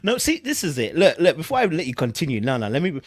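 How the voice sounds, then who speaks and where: A man's voice: on the high side, sing-song, quick pace, no room sound, clean studio sound. A man with a London accent hosting casual online commentary, reading aloud and reacting in informal, slangy speech with emphatic repetition. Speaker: YouTube commentary host.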